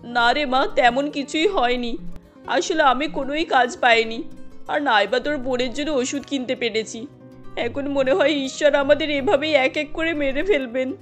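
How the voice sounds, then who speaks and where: A woman acts out a careworn cartoon mother. Her voice is high, and she talks in a motherly, urging way with a fretful edge. There is light music underneath. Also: normal speed, natural voice. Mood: dejected, worried. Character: poor, caring, careworn mother of five daughters. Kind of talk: Bengali cartoon dialogue.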